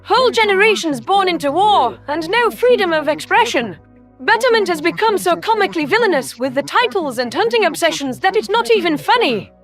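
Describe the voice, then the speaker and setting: A woman voicing an ancient alien predator soldier in a sci-fi audio story, with a very high voice. The speech is smooth, formal and reproachful, with faint music beneath. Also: sing-song, fairly quick, fairly bright tone, full character voice. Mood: appalled. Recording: music under the voice.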